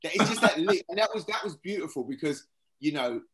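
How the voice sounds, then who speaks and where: A man joining a casual podcast chat over a video call as a guest, telling stories in a laid-back, animated way. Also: on the high side, a little fast.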